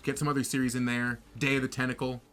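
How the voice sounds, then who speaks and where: A man's voice: mid-range voice, flat delivery, fast, over music. A man co-hosting a podcast, chatting casually about games and films in an opinionated, rambling way. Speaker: podcast co-host.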